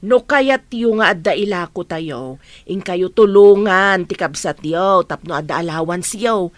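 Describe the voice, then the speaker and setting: A woman's voice: fairly low pitch, expressive, a little slow, no room sound. A woman playing a village mother who scolds plainly, with an Ilocano accent, in a radio comedy drama. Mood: nagging. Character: village mother nagging her student daughters.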